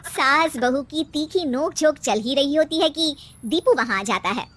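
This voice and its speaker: High-pitched woman narrating a story. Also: lively intonation, normal speed, noisy background. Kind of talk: scripted animated bedtime story narration.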